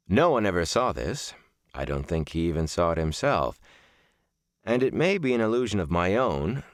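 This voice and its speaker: A man narrates a reflective audiobook in a very low voice. His phrasing is deliberate and measured, with lively rises and falls in pitch. The recording is close and dry. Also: dry recording. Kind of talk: scripted audiobook narration of a reflective first-person memoir novel.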